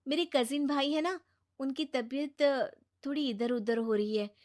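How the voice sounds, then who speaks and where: Woman doing a high-pitched, eager young daughter-in-law. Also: a little slow, darkish tone. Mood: sweet, coaxing.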